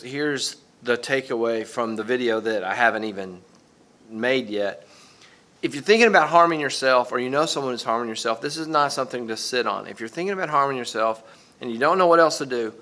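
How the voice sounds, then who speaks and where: A middle-aged man, a teacher, talking in a casual vlog monologue, halting and repeating words as he goes, livelier when he tells a story. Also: mid-range voice, pushed and bright. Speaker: vlogger, teacher.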